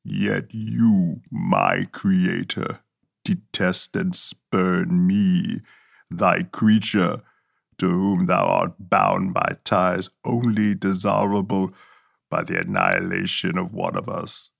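A brooding, anguished male creature, voiced by a man, pleading in slow, heavy phrases. The voice sits low and grinds with gravel. A husky grain runs under every word. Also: little pitch movement, no echo, clean studio sound, heavily acted. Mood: bitter.

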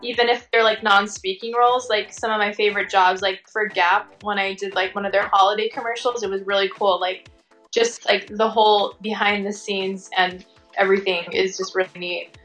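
A woman's voice: mid-range voice, fairly even intonation, normal speed, fairly bright tone, faint music behind. A woman chatting casually as an interview guest, informal and sprinkled with "like" and "you know". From Tongan American. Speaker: interview guest, working fashion and commercial model.

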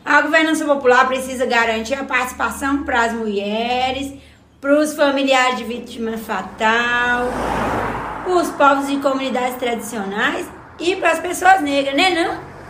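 Radio drama role, for a woman: wise, folksy old grandmother, Minas Gerais accent, high-pitched, roomy sound. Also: a little slow, a bit bright, slight background noise. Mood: emphatic, recalling. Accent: Brazilian, Minas Gerais. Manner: folksy, motherly, explanatory, exclamatory. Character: Dona Joaninha, spirited older village grandmother, folksy and wise. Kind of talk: scripted community radio drama.

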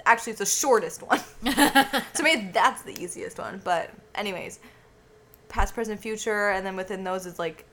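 A woman's voice: medium pitch, normal speed, a bit bright, slight background noise. Rambling, self-mocking woman co-host. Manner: rambling, self-correcting, laughs at herself. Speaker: podcast co-host. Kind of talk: casual chat.

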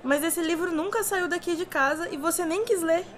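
A woman's voice: very high voice, moderate pace, some chatter behind. A woman playing a kindly, inquisitive mother in a radio drama, with a Brazilian accent. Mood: suspicious.

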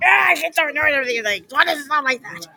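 A woman vlogging casual, rambling opinions in an excitable, easily exasperated way, full of exclamations. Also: high-pitched, fast talker, gravelly. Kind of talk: casual rambling opinion commentary on transit announcements. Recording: busy chattering room with crowd noise. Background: other people's conversation.

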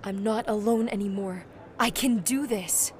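A woman playing an anxious, self-doubting high school girl in an audio drama, hesitant and insecure. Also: unhurried, a little mellow, a little hiss or hum, lightly acted. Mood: resolute. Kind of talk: naturalistic audio drama acting.

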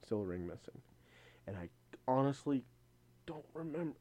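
Halting, informal man in casual chat. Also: mid-range voice, very animated, warm tone. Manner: halting, searching for words, informal. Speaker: podcast co-host. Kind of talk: casual chat, episode recap.

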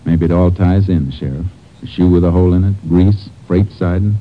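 An actor playing a steady, observant Texas Ranger investigator, speaking in terse, matter-of-fact sentences in the style of 1950s radio drama. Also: male voice, low voice, normal speed, natural voice. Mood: methodical, businesslike. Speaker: lead actor and narrator. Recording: muffled vintage 1950 radio recording.

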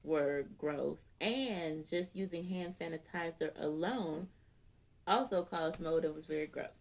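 Low, gravelly-voiced woman co-host. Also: unhurried. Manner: storytelling, exaggerated indignation, laughs often. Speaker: podcast co-host. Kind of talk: casual chat.

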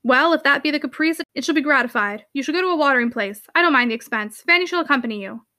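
A woman's voice: sits high, expressive, fast talker, sharp tone, dry recording, clean studio sound. A woman voicing a blustering, impatient middle-aged uncle from a storybook, brusque, scoffing and exclamatory. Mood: firm, impatient. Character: blustering, impatient fifty-five-year-old uncle, gruffly dismissive. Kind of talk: storybook character dialogue.

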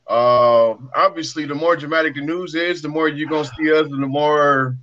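Higher-pitched podcast host, slightly dull sound. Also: male voice, fairly even intonation, moderate pace, a bit bright, a little hiss or hum. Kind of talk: casual discussion of current events.

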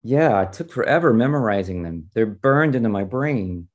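A man's voice: lowish voice, animated, moderate pace. A man reading a storybook in the part of a curious, eager young female student, inquisitive and informal.

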